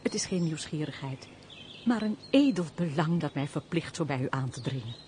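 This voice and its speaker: A woman acting a lively, compassionate countess in a Dutch radio play, with formal, expressive, theatrical speech. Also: sits low, moderate pace. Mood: earnest pleading. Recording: noisy old radio play recording.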